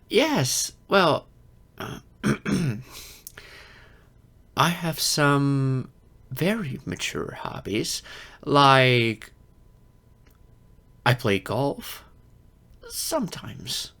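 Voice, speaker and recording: A man's voice: on the high side, a bit bright, heavily acted. A man playing an insecure, geeky adult silver fox in an audio roleplay, hesitant, stammering and self-deprecating. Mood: flustered, nervous. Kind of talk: ASMR-style audio roleplay acting.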